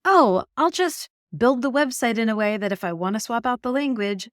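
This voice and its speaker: A middle-aged woman from Canada, a marketing executive telling stories in a podcast interview, animated and laughing often. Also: a little high, normal speed, no echo, clean studio sound.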